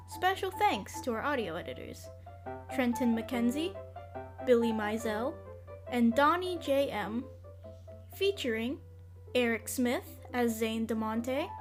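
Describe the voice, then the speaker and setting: Music plays clearly behind a woman announcer. Her voice is fairly high. She reads scripted credits at a very slow, measured pace. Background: prominent music.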